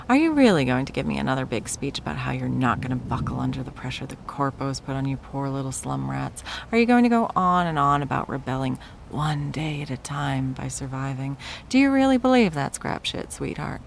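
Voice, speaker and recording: Low, creaky, condescending executive ex-girlfriend played by a woman. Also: expressive, brisk, few pauses, a little hiss or hum, full character voice. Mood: scornful. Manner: condescending, sarcastic, drawling sweet talk. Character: wealthy, possessive corporate executive ex-girlfriend, mocking and menacing. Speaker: voice actor in audio roleplay. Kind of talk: ASMR roleplay, dramatic monologue.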